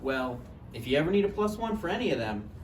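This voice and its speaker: In a roomy recording with clear noise, a man plays an awkward young ex-boyfriend in a stage drama. He sounds natural and conversational, insecure and a bit petty, set back from the microphone. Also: a little high, very animated, fast talker. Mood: needy. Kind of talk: naturalistic stage play table read. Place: live table read in a room. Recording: distant mic, echoey room, noisy.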